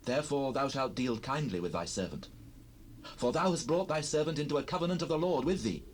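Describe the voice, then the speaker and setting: A man's voice: mid-range voice, lively intonation, a little fast, close to an everyday voice. An actor playing an anxious young male fugitive pleading earnestly and solemnly in archaic speech, in a scripture audio drama. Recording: noisy cassette rip, distant ambience.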